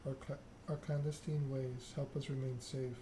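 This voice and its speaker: A man's voice: mid-range voice, flat delivery, moderate pace, noisy background. A man doing an ASMR read-aloud of a narrative game, occasionally stumbling over the written text. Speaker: gaming channel host.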